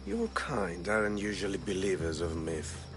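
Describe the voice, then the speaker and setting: Low, suave, sinister schemer. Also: male voice, big pitch swings. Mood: smug, composed. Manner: smooth, measured, condescending. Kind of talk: video game cutscene acting. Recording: music under the voice.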